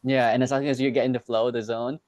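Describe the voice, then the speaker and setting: A man's voice: mid-range voice, flat delivery, fast talker, darkish tone, no room sound, studio quality. A man interviewing a student in a casual chat, encouraging and full of short backchannel replies. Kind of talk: casual conversation.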